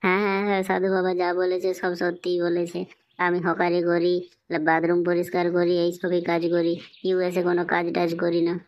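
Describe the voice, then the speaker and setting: A very dry, muffled cartoon dub of a woman acting out a hapless young male trickster. The delivery is whining and quick, on the low side for a woman, with little rise or fall. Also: monotone, a little mellow, dry recording, lightly acted. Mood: pleading, nervous. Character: hapless young male swindler, pleading and cheeky. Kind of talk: comedy cartoon dialogue.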